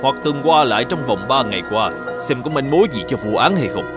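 A man voicing an upright, determined governor in an animated folk tale, speaking formally and with measured authority. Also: medium pitch, loud music behind the voice, natural voice. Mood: resolute.

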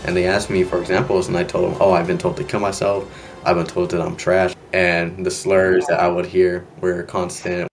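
Deep-voiced young man. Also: completely flat delivery, normal speed. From Texas. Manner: plain, direct. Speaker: interviewee gamer. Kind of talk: casual recollection. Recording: music bed underneath.

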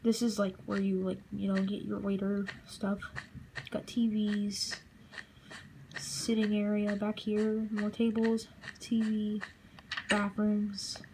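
A young woman casually narrating a walkthrough of a game build she made, halting and relaxed, with fillers. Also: medium pitch, background noise. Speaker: channel host.

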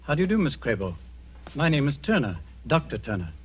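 An actor playing a polite, calm male psychiatrist with a courteous, measured delivery in 1940s radio drama. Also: mid-range voice, breathy edge. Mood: politely helpful. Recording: muffled, noisy 1940s radio recording.

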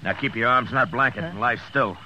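An actor playing a tough, unflappable American marshal of the Old West, terse and dry, in radio drama style. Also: male voice, medium pitch, quick pace, noisy background, close to an everyday voice. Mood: stern, dry. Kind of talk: 1950s radio western acting and first-person narration.

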